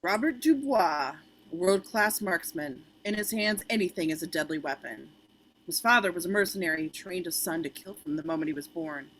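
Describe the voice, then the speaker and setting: A woman acting a cold, menacing government official in an amateur script read, controlled, dry and deliberate. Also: fairly low pitch, lively intonation, fairly bright tone, low-quality recording. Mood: cool, matter-of-fact.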